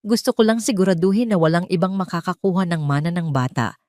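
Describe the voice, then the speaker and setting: A woman voicing a meek but calculating betrayed wife with a hesitant, hushed manner in an audio drama. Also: lowish voice, fast, no room sound, lightly acted. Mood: hesitant, insinuating.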